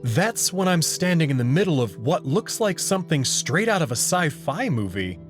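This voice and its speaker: A man reading expressive first-person narration for a visual novel story. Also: a little high, normal speed. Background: background music.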